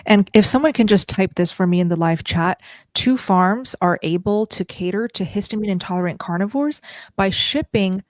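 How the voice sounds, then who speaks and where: A woman hosting a live Q&A, reading out viewer questions and giving clear, direct recommendations. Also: medium pitch, normal speed, no room sound. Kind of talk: live Q&A moderating.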